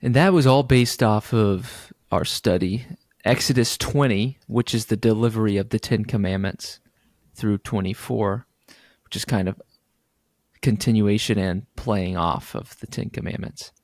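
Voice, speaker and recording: A man's voice: on the low side, a little slow, darkish tone, no room sound. A man co-hosting a casual podcast, joking, laughing often and recapping a sermon series. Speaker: podcast co-host. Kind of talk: casual chat.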